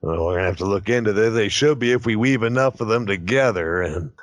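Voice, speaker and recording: A man's voice: lowish voice, fast talker, no echo. A man co-hosting a comedy podcast, joking and riffing in casual banter. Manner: joking, riffing on absurd tangents. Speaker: podcast co-host.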